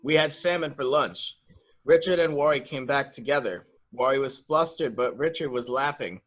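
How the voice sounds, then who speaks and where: Casting: male actor as naive, excitable childlike young man for a mystery radio play, medium register, rambling innocence. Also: medium pitch, moderate pace, close to an everyday voice, rough recording. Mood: happy, innocent. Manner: guileless, rambling, repetitive. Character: childlike, simple, excitable young man fearing being locked up. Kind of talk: dramatic reading of murder mystery.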